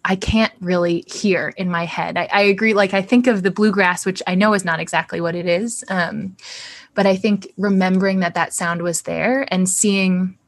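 Thoughtful young woman with low voice. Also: fairly even intonation, moderate pace. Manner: thoughtful, searching, with many ums and likes. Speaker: scholar interviewee. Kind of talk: reflective interview answer. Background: soft background music.

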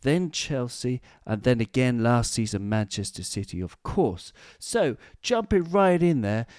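A man's voice: mid-range voice, sing-song, a little slow, darkish tone, no echo, clean studio sound. A man in his late thirties hosting a podcast, playful and florid, with many stumbling restarts. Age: 30s. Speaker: podcast host. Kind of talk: casual podcast chat.

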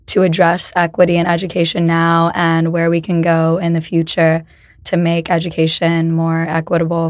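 A young woman from the United States co-hosting an educational podcast, her voice hoarse from laryngitis, chatting casually with lots of "like" fillers. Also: fairly low pitch, no room sound. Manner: talks with many "like" fillers. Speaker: podcast co-host.

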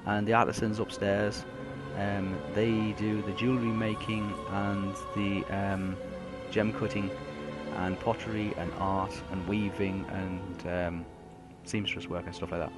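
A man's voice: fairly low pitch, level tone, a little slow. A man narrating as game master, describing a fantasy world in a rambling way with many fillers and restarts. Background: music.

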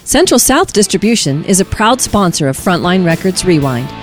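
A woman's voice: lowish voice, sing-song, moderate pace, music in the background. A middle-aged woman casually telling stories on a podcast. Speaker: podcast guest and co-host telling industry anecdotes.

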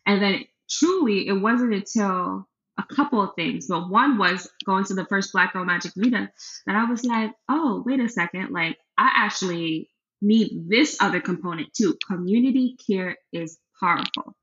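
Husky bright woman, lo-fi recording. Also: medium pitch, normal speed. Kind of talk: casual conversation. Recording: dull lo-fi sound.

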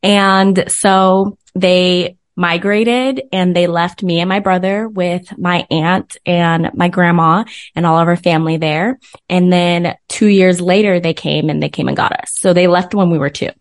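Chatty American woman, slightly low voice. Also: a little slow. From born in Zimbabwe, raised in the United States. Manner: chatty, informal, animated retelling with quoted dialogue. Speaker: interview guest, business coach. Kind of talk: casual personal storytelling in an interview.

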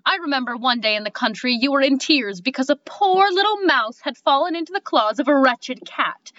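Female reader as haughty, severe gentleman suitor, formal and declamatory, bright tone, lo-fi. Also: high voice, lively intonation, fairly quick, no echo. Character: proud, severe, self-important male suitor. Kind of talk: cold-read comedic stage play. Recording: dull, dry lo-fi sound.

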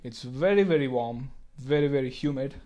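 A man's voice: mid-range voice, normal speed, warm tone. A man with an Indian accent, a native Tamil speaker, chatting casually on a podcast. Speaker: podcast guest or co-host. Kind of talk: casual chat.

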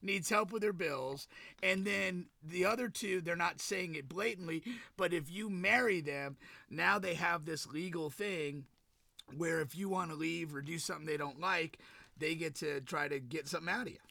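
A man co-hosting a casual podcast chat, animated and swearing, jumping in with wisecracks. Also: a little high, normal speed, gravelly, dry recording. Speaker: podcast co-host.

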